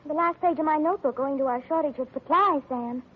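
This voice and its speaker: Woman acting as a devoted secretary, 1940s radio drama, very high voice, gushing and tender, muffled old broadcast. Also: fast, close to an everyday voice. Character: devoted young female secretary, soft-hearted and sentimental.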